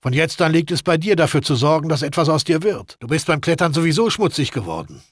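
A man's voice: fairly high pitch, big pitch swings, fast talker, husky, no room sound, studio quality. A man voicing a matter-of-fact, middle-aged mafia weapons man in a video game, with an instructive, streetwise manner. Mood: businesslike.

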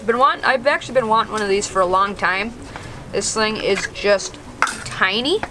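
A man's voice: high-pitched, normal speed, noisy background. Upbeat young man vlogging on the ice. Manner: upbeat, addresses viewers as dudes. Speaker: fishing vlogger. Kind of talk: casual vlog narration. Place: outdoors on frozen lake and inside ice shelter. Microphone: handheld vlog camera at arm's length.

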